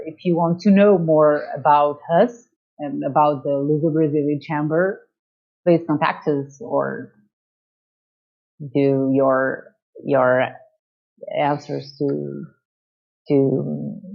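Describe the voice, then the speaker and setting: A woman from Portugal speaking English with a Portuguese accent, slowly searching for words as she explains her chamber of commerce in an interview over a video call. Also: low voice, steady tone, vocal fry. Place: in Lisbon. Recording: dull lo-fi call audio.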